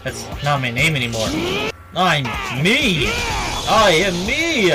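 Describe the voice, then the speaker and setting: A man voicing a manic, hot-blooded young game character, dramatic and shouting with drawn-out words over music. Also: fairly high pitch, huge pitch swings, heavily acted. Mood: manic defiance. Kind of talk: video game dialogue read aloud.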